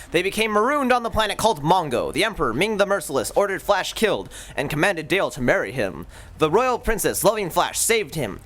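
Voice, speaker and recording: A man narrating an old-time radio serial with booming theatrical delivery. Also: fairly bright tone. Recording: live stage recording with background noise.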